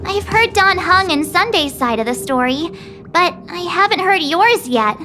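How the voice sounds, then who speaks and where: A woman voicing a loyal, chatty teenage girl adventurer in a video game, expressive and melodramatic. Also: very high voice, unhurried, music in the background. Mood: curious.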